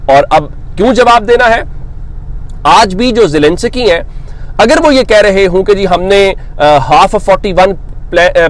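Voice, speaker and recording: A man delivering unscripted news commentary as a host, explaining step by step and posing questions that he then answers himself. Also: sits high. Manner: explanatory, reads English quotes slowly then paraphrases them; poses rhetorical questions and answers them.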